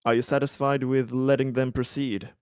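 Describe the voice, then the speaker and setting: A man playing a tabletop roleplaying game, speaking casually and haltingly, often repeating words as he goes. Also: mid-range voice, level tone, darkish tone, clear, resonant tone, no room sound, clean studio sound.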